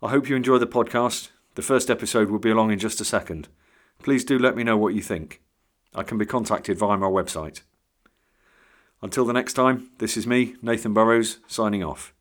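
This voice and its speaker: A man with a fairly low voice speaks as the host of a podcast. He gives an introduction in the manner of an author addressing listeners directly. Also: moderate pace.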